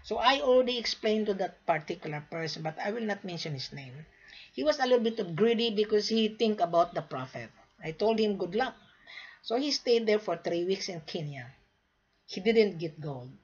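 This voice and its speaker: A woman born in the Philippines lectures would-be buyers in a forceful, repetitive way, hammering home each warning. Her voice is a little husky and sits in a middle pitch. The recording sounds dull and lo-fi. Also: animated, moderate pace. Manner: emphatic, lecturing, repeats points. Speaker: gold sales agent. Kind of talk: direct-to-camera sales talk.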